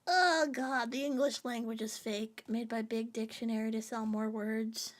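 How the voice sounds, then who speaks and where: A woman's voice: fairly high pitch, fairly even intonation, normal speed. A woman streamer chatting casually with her viewers, rambling and joking in a playful, sing-song way. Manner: rambling and jokey, reading chat aloud.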